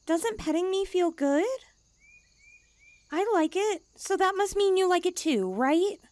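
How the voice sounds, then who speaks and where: A woman's voice: very high voice, expressive, unhurried, a little mellow, no room sound. A woman playing a haughty, needy young stray catgirl, blunt and cutesy, in an ASMR comfort roleplay. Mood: puzzled, pouty.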